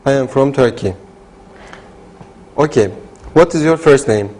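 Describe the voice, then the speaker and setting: A man's voice: medium pitch, lively intonation, a little slow, a little mellow. A man in a classroom role-play, giving short, plain answers.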